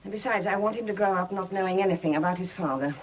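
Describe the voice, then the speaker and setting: An actress playing a proud, bitter, self-reliant young widow with a husky voice and a brittle, wry manner in a 1970s radio drama. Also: female voice, mid-range voice, fast, slight background noise, close to an everyday voice, poor audio. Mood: guarded, resolute.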